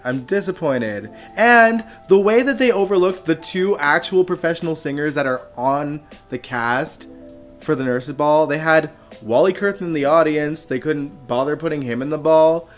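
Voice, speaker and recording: Chatty slightly hoarse man hosting a live stream. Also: mid-range voice. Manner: chatty, animated, laughs often. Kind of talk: casual live stream chat. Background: music playing faintly.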